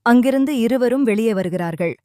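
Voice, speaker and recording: A woman narrating a children's cartoon story in a calm, steady storytelling manner. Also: on the high side, fairly quick, no room sound, studio quality.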